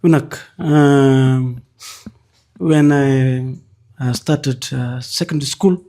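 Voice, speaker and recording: A Fijian man in his twenties with a Fijian accent, a podcast guest telling his own story with many hesitant pauses. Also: medium pitch, steady tone, dark tone. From Fiji. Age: 20s. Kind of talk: personal story in a podcast interview.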